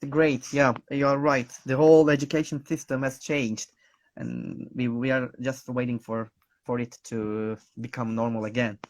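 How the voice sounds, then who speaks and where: A man's voice: mid-range voice, fairly even intonation, a little slow. A man hosting an online teacher talk show over a video call, friendly and hesitant with many fillers and nonnative phrasing. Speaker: host.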